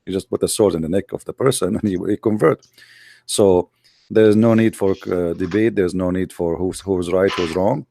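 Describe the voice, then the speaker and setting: A Middle Eastern man with an Arabic accent, a guest on an audio call, talking haltingly with many fillers. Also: low-pitched, steady tone, vocal fry, dark tone, dry recording, clean studio sound. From Middle East.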